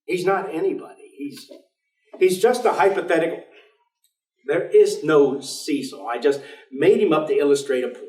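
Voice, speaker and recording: An actor in a staged play reading, playing a weary, despairing professor who is dry, guarded and easily flustered into protest. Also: male voice, fairly high pitch, moderate pace, a little mellow, close to an everyday voice. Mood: argumentative. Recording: roomy echoing stage, distant microphone.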